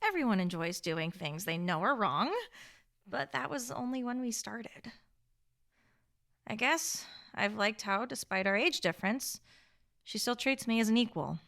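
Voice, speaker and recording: A woman's voice: on the high side, expressive, a little slow, fairly bright tone. A woman playing an earnest, principled, argumentative survivor in a radio play. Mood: subdued, confiding. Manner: earnest, pointed.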